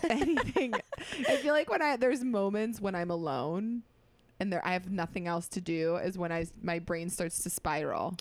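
A woman guest on a casual comedy podcast, soft-spoken and giggly, telling stories about herself. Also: fairly low pitch, normal speed.

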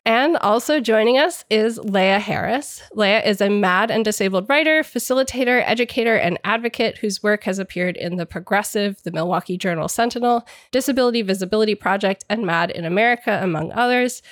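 A woman's voice: mid-range voice, fairly quick, few pauses, no echo. Woman, podcast host, slightly stuffy from a cold, earnest, explanatory, dead dry close sound. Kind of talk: scripted podcast intro and discussion.

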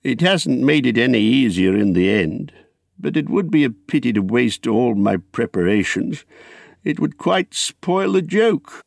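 A man's voice: medium pitch, very animated, measured pace, gravelly, no room sound, clean studio sound, pitched above the performer's own voice, full character voice. A man voicing a fussy, wistful, elderly hobbit in a storybook, with polite, rambling, exclamatory speech. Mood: rueful. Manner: polite, rambling, exclamatory old-fashioned English.

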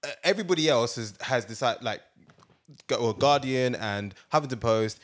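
Chatty man, mid pitch. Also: moderate pace. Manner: chatty, exclaims, slangy. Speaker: podcast co-host. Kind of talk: casual podcast chat.

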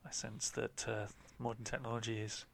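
A man's voice: medium pitch, flat delivery, moderate pace, vocal fry, a bit bright, a little hiss or hum. A man co-hosting a podcast, chatting casually with a dry, hesitant comic manner. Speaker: podcast co-host.

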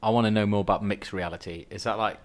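A man on a podcast, playful and jokey in quick banter. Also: fairly low pitch, steady tone. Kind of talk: casual podcast chat.

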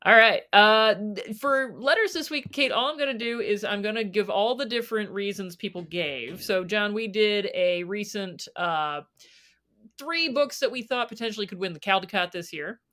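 A woman's voice: medium pitch, moderate pace, bright, forceful tone. A woman hosting a podcast in a casual, chatty style with playful banter. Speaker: podcast host. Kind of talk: casual chat.

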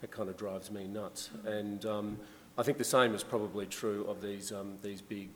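Low-voiced man on a hall panel. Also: normal speed. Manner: relaxed, conversational, frequent fillers. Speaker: journalist and essayist on panel. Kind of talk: panel discussion. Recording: long hall reverb, faint background noise.

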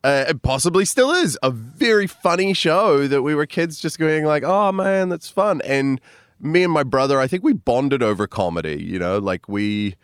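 A man's voice: on the high side, expressive, normal speed, smooth, no room sound, clean studio sound. A man, a comedian chatting as a podcast guest, rambling and self-deprecating with lots of fillers. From New Zealand. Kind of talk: casual interview chat.

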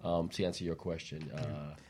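Guy with a pretty low voice hosting a chat show, casual, asks questions. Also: little pitch movement, normal speed, over a noisy crowd. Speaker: talk show host.

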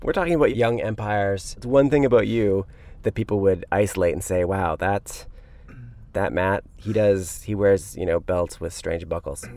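A man's voice: sits low, normal speed, darkish tone, no echo. A man hosting a casual interview show, speaking in a conversational way.

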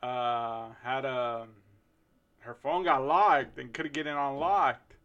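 Male co-host, soft and smooth voice, relaxed slangy delivery. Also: medium pitch, slow pace, muffled recording. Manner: soft-spoken, relaxed, drops in slang and swearing. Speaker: podcast co-host, tech worker. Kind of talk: casual chat.

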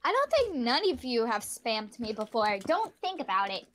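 A woman with a playful, excitable way of talking, chatting casually and sillily with friends. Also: a little high, normal speed, a little hiss or hum. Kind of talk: casual, silly group chat.

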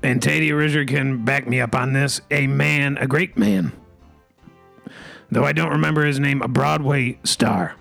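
A man's voice: mid-range voice, unhurried, gravelly, a bit bright, soft background music. A man hosting an improvised comedy podcast, rambling in a mock-pompous way with folksy catchphrases.

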